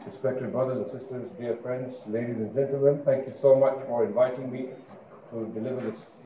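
A man whose first language is Urdu, giving a formal lecture in a large auditorium at a podium mic. He speaks in a measured way and lifts his voice on key points. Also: mid-range voice, steady tone, dark tone. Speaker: guest lecturer. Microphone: podium mic, seen from a far camera. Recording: echoey hall, faint room noise.

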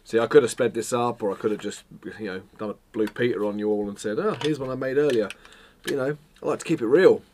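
A man in his thirties talks straight to a desk camera in his home studio, relaxed and jokey. His voice carries a slight hoarseness from a recent cold, at an ordinary middle pitch. A faint hiss of noise sits under the recording. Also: quick pace. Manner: chatty, self-mocking, jokey asides. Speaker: photography vlogger. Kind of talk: casual chat to camera. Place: home studio room, indoors.

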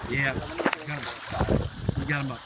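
A man's voice: medium pitch, level tone, a little slow. A middle-aged man giving casual running fishing commentary, repeating short encouraging phrases. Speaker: fishing vlog host filming. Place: outdoors on a grassy lake shore. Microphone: handheld camera mic. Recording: very noisy windy outdoor camera audio.

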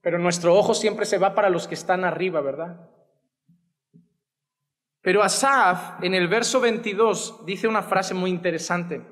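A man's voice: high voice, normal speed, a bit bright, in a large hall. A man in his thirties with a Spanish accent from Spain, preaching a sermon from a pulpit with passion. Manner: impassioned preaching with wide arm gestures. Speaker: pastor. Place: church stage behind a wooden pulpit. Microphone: pulpit gooseneck mic.

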